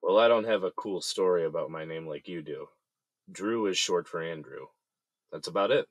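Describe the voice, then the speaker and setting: A man's voice: sits low, moderate pace, dry recording. An actor playing a guarded, bitter man in jail who feels betrayed, speaking tersely in an audio drama. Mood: guarded, civil.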